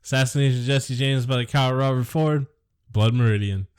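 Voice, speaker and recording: A man's voice: mid-range voice, fairly quick, a little mellow, clean tone. A man co-hosting a casual podcast, sarcastic and dismissive, swearing offhandedly as he reviews a film. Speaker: podcast co-host.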